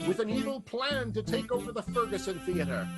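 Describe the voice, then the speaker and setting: High, swooping melodramatic male radio announcer. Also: expressive, fairly quick, over loud music. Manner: melodramatic, playful, tongue-in-cheek. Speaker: announcer, host of improvised radio soap. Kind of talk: theatrical old-time radio show narration.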